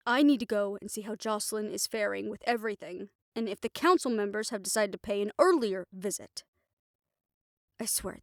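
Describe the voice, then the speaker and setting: A woman's voice: mid-range voice, dry recording, studio quality, natural voice. Woman acting an exasperated, stern, protective guardian woman. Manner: stern, commanding, emphatic. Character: exasperated, protective woman scolding her six reckless young charges. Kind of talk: scripted audio roleplay drama acting.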